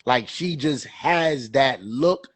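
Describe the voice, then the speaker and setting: A young man co-hosting a sports podcast, his voice stuffy and husky from a cold. He rambles along in loose, casual talk, repeating words and leaning on fillers. The sound is dry and close, with no room around it. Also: mid-range voice, slow pace, dry recording. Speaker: podcast co-host.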